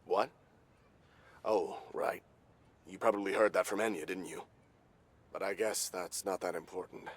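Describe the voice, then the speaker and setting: An actor playing a puzzled, low-key townsman in a video game, with a hesitant, musing manner. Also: a little slow.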